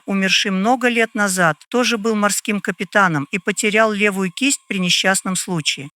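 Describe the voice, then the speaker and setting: A woman's voice: medium pitch, fairly bright tone, no room sound, close to an everyday voice. A woman playing a composed landlady in an old London detective audio drama, speaking formally and a little hesitantly.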